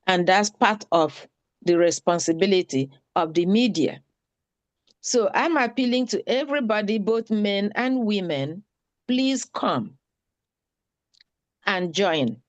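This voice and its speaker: A woman speaks slowly and deliberately, urging her listeners to join in and take part. Her voice is mid-pitched and comes across dry and close, with almost no room sound. She leans on repetition to press each point home. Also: lively intonation, no echo. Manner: slow, pleading, repeats for emphasis. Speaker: town hall participant. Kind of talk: earnest spoken appeal at an online meeting.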